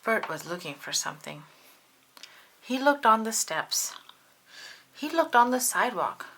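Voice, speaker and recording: A woman narrating a children's storybook aloud in an expressive way, made for acting out the characters. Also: a little high, unhurried, slight background noise. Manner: animated, acts out character dialogue.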